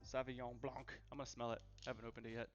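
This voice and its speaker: A man's voice: mid-range voice, flat delivery, rapid-fire, faint music behind. Chatty man on a lo-fi kitchen livestream. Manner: chatty and easygoing, repeats phrases for emphasis. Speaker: host of a live cooking stream. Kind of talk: casual live-stream chat while cooking. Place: home kitchen. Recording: dull, lo-fi livestream audio.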